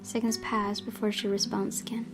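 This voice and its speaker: Expressive woman narrating over guitar music. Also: medium pitch, moderate pace, creaky. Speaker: gaming video host reading a visual novel aloud. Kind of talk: dramatic storytelling narration.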